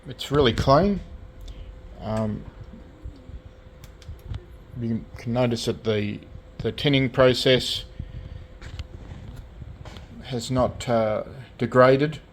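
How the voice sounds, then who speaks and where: A man with an Australian accent talks through a piece of restoration work in a casual show-and-tell way. His phrasing is hesitant and comes in short pieces, at an ordinary middle pitch. There is noticeable background noise on the recording. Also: extremely slow, long pauses. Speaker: radio restorer presenting own collection. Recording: clear background noise, hobby video.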